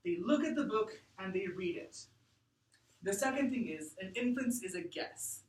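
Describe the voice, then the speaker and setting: A woman teacher reading aloud to a class and explaining deliberately and expressively, thinking out loud. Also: mid-range voice, expressive. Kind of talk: read-aloud lesson to a class. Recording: echoey room, distant mic.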